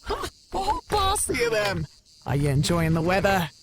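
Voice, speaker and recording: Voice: mid-range voice, huge pitch swings, moderate pace, very noisy. An actor playing a hulking, needling bridge guardian in a fantasy audio drama, theatrical and mock-courteous. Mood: grand, teasing.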